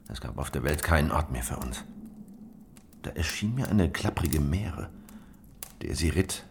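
Gravelly, very deep, weary survivor. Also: male voice, a little hiss or hum. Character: weary, haunted male survivor of failed revolt. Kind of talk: historical radio drama acting.

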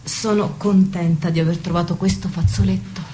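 A woman's voice: on the low side, steady tone, normal speed, background noise, natural voice. An actress playing a deferential, anxious lady's maid and dutiful wife in an Italian radio drama, speaking in a guarded way. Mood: secretive, resigned.

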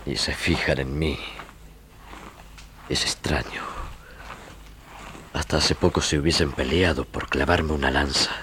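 An actor playing an earnest army lieutenant in a theatrical, halting style of old radio drama. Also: male voice, very deep voice, steady tone, sharp tone, heavily acted. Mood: bewildered. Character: earnest young army lieutenant, dazed then defiant. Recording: noisy old radio archive tape.

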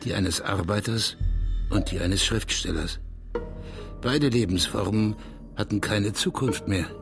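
An actor playing a detached narrator of a bleak life in a literary audio drama, measured and bitter. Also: male voice, on the low side, vocal fry. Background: music.

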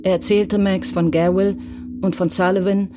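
A woman's voice: mid-range voice, level tone, moderate pace. A woman narrating a scripted audio drama in Standard German. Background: music.

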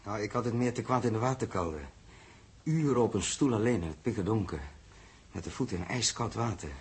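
A husky, earnest male spaceship commander. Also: lowish voice, a little fast. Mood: uneasy. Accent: Netherlands Dutch. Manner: composed, authoritative. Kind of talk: 1960s Dutch radio drama acting.

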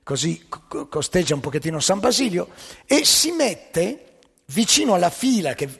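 Animated husky storyteller man. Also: sits high, moderate pace. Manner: animated, wry. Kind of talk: theatrical joke telling. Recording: live hall with long reverb.

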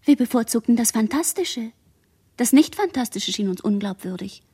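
A high, breathy girl's voice, drifting through a radio play monologue. She sounds dazed and incantatory, piling up fragments and sinking often toward a whisper. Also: animated, moderate pace, warm tone. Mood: detached, musing. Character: dazed girl, sole surviving witness, drifting in fragmented monologue.

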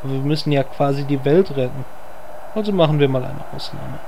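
Creaky, wry fantasy hero, played by a man. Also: medium pitch, a little fast, background noise, lightly acted. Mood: sceptical. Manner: wry, complaining. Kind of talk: comedic audio drama acting.

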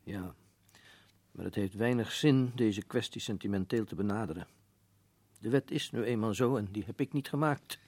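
A man's voice: lowish voice, moderate pace, darkish tone, dry recording. A man playing a firm, matter-of-fact older prison governor in a radio play. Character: stern older male prison governor, a colonel.

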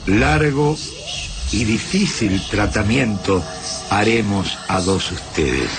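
Alien invader, low creaky voice, cold, halting broken speech, radio drama, music behind. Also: male voice, lively intonation. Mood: cold, explanatory. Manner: broken foreign-like syntax, halting, solemn. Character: alien invader, a Mano, cold methodical captor. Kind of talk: science fiction radio drama acting.

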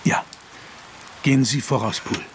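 A man acting in a radio drama as a grave, determined gentleman, earnest and commanding. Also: medium pitch, normal speed, slight background noise, lightly acted. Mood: tense, hushed. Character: grave, determined gentleman, loyal friend of the doctor.

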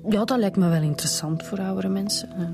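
A woman's voice: mid-range voice, fast talker, vocal fry, over music, lightly acted. A woman with a Flemish accent playing a polite, prodding police detective in a radio drama. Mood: polite, curious. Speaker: actor in a radio detective serial.

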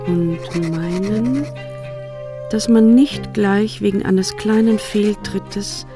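A sensible, proper bourgeois mother reads a letter in a radio play. She is formal and very unhurried, with violin music clearly behind her. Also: medium pitch.

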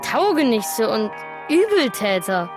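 High-voiced, scrappy resentful child. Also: female voice, very animated, slow pace, over music. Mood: resentful, defiant. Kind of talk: stylised radio drama recitation.

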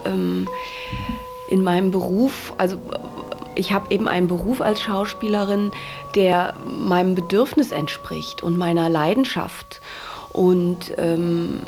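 A woman in her forties with a fairly low voice talks off the cuff in an interview. She feels her way through her sentences, pausing and repeating words as she goes. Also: steady tone, music in the background. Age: 40s. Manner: halting, searching for words, repeating herself. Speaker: actress interviewee. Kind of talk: spontaneous interview talk.

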